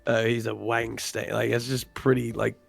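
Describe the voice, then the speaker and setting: A man's voice: medium pitch, flat delivery, moderate pace, creaky, husky, soft background music. An American man chatting as a guest on a livestream, teasing and joking and repeating words for fun. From United States. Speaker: guest streamer. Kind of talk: casual chat on a livestream.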